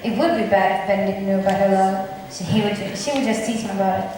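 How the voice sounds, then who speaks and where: A woman in a student drama club playing a loyal, frank young waiting gentlewoman on stage. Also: medium pitch, fairly even intonation, fast talker, lightly acted. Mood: frank, agreeable. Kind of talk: amateur stage acting. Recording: very echoey hall, distant mic, faint hiss.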